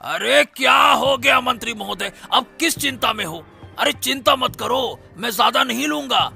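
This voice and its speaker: A greedy young boatman in a cartoon. His voice is very high and piercingly bright, with heavy creak. He is impatient and fawning, and music plays underneath. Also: moderate pace, exaggerated voice. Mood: teasing, coaxing. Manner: impatient, flattering, exclaiming. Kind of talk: animated Hindi cartoon story acting.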